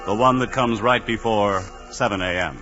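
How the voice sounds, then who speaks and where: A man's voice: on the low side, moderate pace, bright, forceful tone. A man announcing and narrating a 1940s radio comedy in a scripted style. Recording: dull 1940s radio recording. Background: music.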